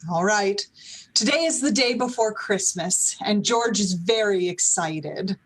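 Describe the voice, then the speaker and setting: A woman's voice: medium pitch, expressive, a little slow, noisy background. A woman playing a wise head angel who explains a story conversationally in a radio play. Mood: grave.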